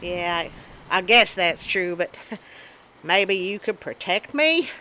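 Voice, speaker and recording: A woman's voice: fairly low pitch, expressive, slow pace, pushed and bright, slight background noise, heavily acted, low-quality recording. A woman voicing a timid, good-natured male puppet sidekick with a hesitant, folksy, nervous way of talking.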